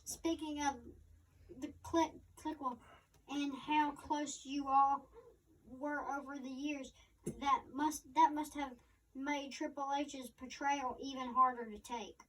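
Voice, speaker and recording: A woman co-host reading interview questions aloud in a halting way. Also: sits high, fairly even intonation, fairly bright tone. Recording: distant microphone.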